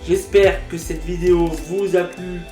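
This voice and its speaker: A man with a cold, telling a story in a casual vlog in a lively, animated way with sound effects. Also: sits high, measured pace, reverberant, soft background music. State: has a cold. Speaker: vlogger.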